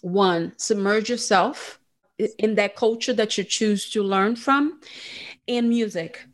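A woman's voice: mid-range voice, slow pace. Woman podcast host. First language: Portuguese. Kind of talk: casual podcast chat.

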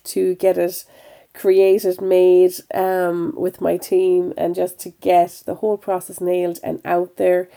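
A middle-aged woman hosts her podcast with eager, encouraging energy, sprinkling her talk with ums and you knows. Her voice has a gravelly fry and sounds a bit croaky this week. The tone is mellow and soft-edged rather than bright. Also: mid-range voice. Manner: enthusiastic, encouraging, with fillers. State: slightly croaky voice. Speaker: podcast host. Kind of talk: upbeat motivational podcast talk.

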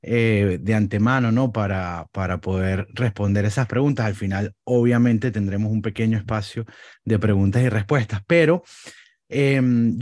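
A man's voice: medium pitch, darkish tone, no echo. Polite male podcast host, dry sound. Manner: polite, complimentary, hesitant fillers. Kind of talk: scripted intro then interview.

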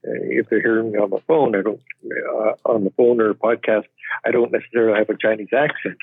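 A man speaks over a tinny, narrow phone line. His voice is a little husky and sits at an ordinary pitch for a man. He answers at a measured pace and hesitates often with uh's. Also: fairly even intonation, dry recording. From Canada. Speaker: podcast guest, immigration expert. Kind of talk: interview answers.

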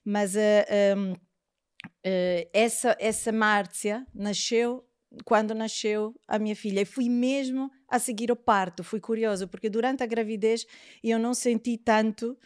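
A woman's voice: a little high, steady tone, unhurried, darkish tone, smooth, no room sound, studio quality. A woman in her forties with an Italian accent, a parenting therapist talking reflectively as an interview guest. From Italy. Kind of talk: casual interview.

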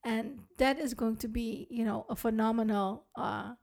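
A Dutch woman co-hosts a podcast in English, her fairly high voice carrying a gravelly rasp. She speaks hesitantly, with frequent ums and restarts. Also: fairly even intonation, moderate pace, darkish tone. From Netherlands. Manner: hesitant, many fillers and restarts. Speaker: podcast co-host. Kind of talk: casual podcast talk.

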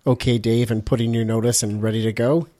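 A man's voice: mid-range voice, flat delivery, brisk, dark tone. A man hosting an interview podcast, playful and jokey, with self-deprecating asides. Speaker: podcast host. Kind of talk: casual interview, upbeat show intro.

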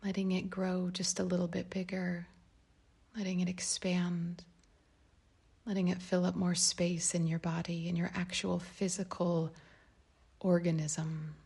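A woman guides a meditation in a low, mellow voice with a soft creak. She speaks gently and calmly, leaving space between phrases, her breathy tone often thinning toward a whisper. The melody stays very even throughout. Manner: calm, unhurried, gentle phrasing with long pauses.

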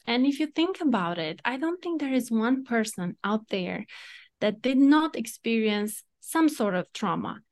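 A woman with a Romanian accent, a podcast guest, giving advice thoughtfully and laughing at herself now and then. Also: on the high side. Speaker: guest, entrepreneur and coauthor. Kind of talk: casual podcast conversation.

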